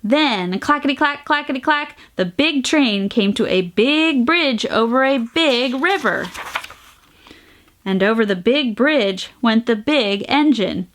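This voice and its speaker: High, very lively woman reading to children. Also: slow. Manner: sing-song, exaggerated emphasis, playful noises. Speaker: storytime host reading a picture book aloud. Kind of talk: animated children's read-aloud with sound effects.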